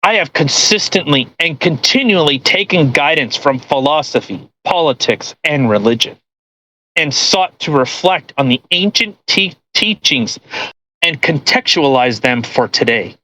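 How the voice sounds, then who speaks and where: A man's voice: fairly high pitch, expressive, unhurried, sharp tone, dry recording, poor audio. A man co-hosting a podcast, doing a mocking dramatic reading with sarcastic, profane heckling and banter. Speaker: podcast co-host.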